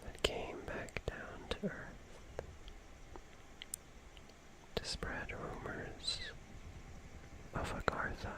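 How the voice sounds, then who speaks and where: A performer voicing an ancient, gentle but eerie forest guardian in an ASMR roleplay, hushed and soothing, with lingering pauses. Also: poor audio. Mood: dreamy, musing. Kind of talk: whispered ASMR roleplay, horror-tinged bedtime storytelling.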